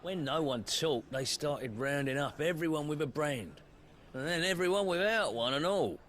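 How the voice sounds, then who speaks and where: Brief: a tired villager, plain and weary, light ambience behind. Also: male voice, on the high side, expressive, normal speed, a bit bright, slight background noise. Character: weary male villager recounting hardship.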